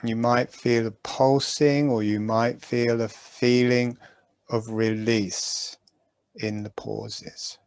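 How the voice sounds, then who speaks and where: Slow, gentle male meditation guide. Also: mid-range voice, smooth, no echo. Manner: slow, calm, gentle instructions with long pauses.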